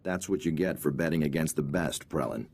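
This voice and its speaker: An actor playing an easygoing, teasing intelligence agent in a video game, with a gravelly, husky voice. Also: male voice, extremely low pitch, fairly quick, a little mellow, exaggerated voice. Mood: warm, teasing.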